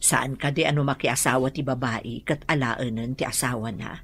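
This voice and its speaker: A woman acting as a frank, protective older aunt in an Ilocano radio drama, with a husky, raspy voice and probing, emphatic delivery. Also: extremely low pitch, steady tone, normal speed, full character voice. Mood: concerned, reasoning.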